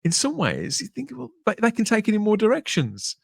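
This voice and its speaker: Lively-pitched male podcast host, dry sound. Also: gravelly, husky, no room sound. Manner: measured, discursive, adds tag questions. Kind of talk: casual but polished podcast presenting.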